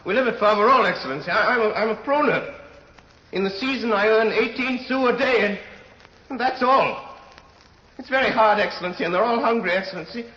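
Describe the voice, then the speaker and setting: An actor in an old radio drama playing a desperate, embittered convict who stammers and repeats himself in a halting way. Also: male voice, high-pitched, sharp tone. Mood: pleading, anxious. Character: desperate, embittered male convict, humble then defiant. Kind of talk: 1930s radio drama acting. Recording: noisy old radio recording, muffled, reverberant hall.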